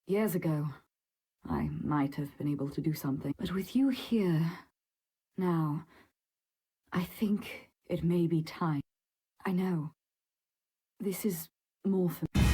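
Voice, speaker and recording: An actress playing a weary, grieving daughter in a video game, subdued, halting and resigned. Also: female voice, sits low, mellow, breathy edge, exaggerated voice. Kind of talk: dramatic game voice acting.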